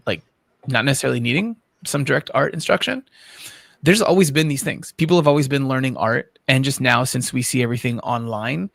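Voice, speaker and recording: A man's voice: medium pitch, vocal fry, a bit bright, no echo. A man hosting a livestream, explaining his points at length with many qualifications in a casual discussion style. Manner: explanatory, long-winded, qualifying. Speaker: livestream host.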